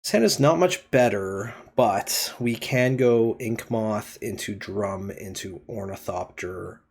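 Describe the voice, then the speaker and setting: A man's voice: lowish voice, slow pace, gravelly, a little mellow, breathy edge. A man streaming a card game, thinking aloud as he plays, his voice still throaty from a cold. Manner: thinking aloud while playing. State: throaty, getting over a cold. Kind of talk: casual card game commentary.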